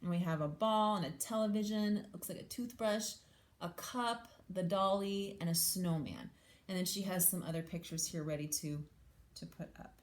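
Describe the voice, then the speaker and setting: A woman's voice: fairly low pitch, moderate pace, slight background noise. Woman teacher reading aloud in an echoey room. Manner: simple explanatory asides and questions to young listeners. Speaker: English language teacher reading aloud to children. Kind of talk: children's picture book read-aloud with informal explanations.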